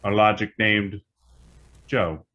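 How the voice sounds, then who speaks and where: A man's voice: fairly low pitch, fairly even intonation, slow, bright, forceful tone, highs cut off. A man playing an obedient talking computer in a radio play, reciting in a formal, stilted, machine-like way. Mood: formal, informative. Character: literal, obedient male talking computer called a logic.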